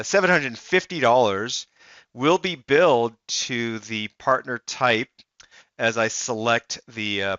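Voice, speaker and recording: A man narrating a software demo as a voice-over, explaining it step by step in the first person. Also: mid-range voice, clean tone, dry recording, lo-fi sound. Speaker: software demonstrator.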